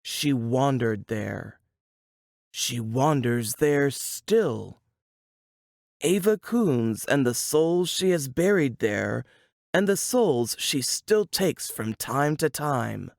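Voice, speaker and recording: A woman reading a suspense novel aloud in a dramatic, deliberate storytelling manner. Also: very deep voice, expressive, gravelly, a little mellow, dry recording, studio quality. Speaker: author reading from their novel.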